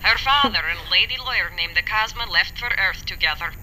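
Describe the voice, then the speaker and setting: A doting mother fusses and gossips in a radio drama voice message. Her voice is piercingly bright and comes through a small speaker. There is faint noise around it, and her tone is affectionate and nagging. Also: medium pitch, brisk, low-quality recording. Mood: gossipy, pitying. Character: doting, fussy, gossipy mother of a grown son. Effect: played as a voice message over a small speaker.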